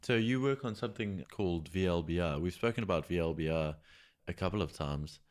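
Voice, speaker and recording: A man co-hosting a science podcast, talking in an earnest, halting way that repeats words as he goes. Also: low voice, a little mellow. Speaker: podcast co-host. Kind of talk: casual podcast chat.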